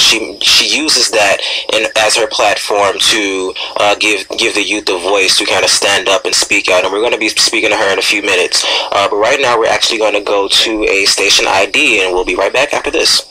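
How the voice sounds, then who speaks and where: Bright, low-voiced American man co-hosting radio. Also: a little hiss or hum, rough recording. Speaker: radio co-host. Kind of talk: conversational talk radio hosting.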